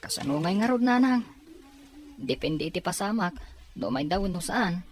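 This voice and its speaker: A woman's voice: lowish voice, expressive, moderate pace, natural voice. A woman playing a respectful young student talking with a mother in an Ilocano radio drama. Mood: patient.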